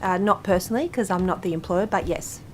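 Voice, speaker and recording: An Australian woman public servant giving formal answers at a parliamentary hearing in measured official phrasing. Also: fairly low pitch, a little hiss or hum. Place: parliamentary committee room.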